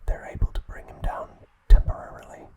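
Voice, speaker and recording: A man narrating a recap as an ASMR host in a soft, unvoiced whisper, in short deliberate phrases.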